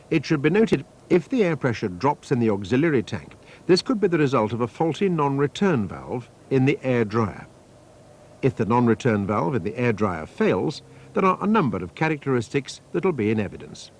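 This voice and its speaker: Man narrating a technical training film, lively intonation. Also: mid-range voice. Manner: measured, methodical, formal. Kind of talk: scripted technical instructional narration. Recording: faint noise, archival training film soundtrack.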